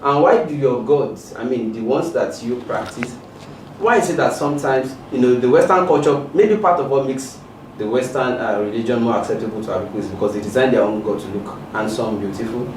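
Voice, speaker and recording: A man's voice: mid-range voice, brisk, a little mellow. A man puts probing questions as an interviewer. He sounds set back from the microphone, in a very roomy space with a faint noise behind him. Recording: roomy, distant from mic, noisy.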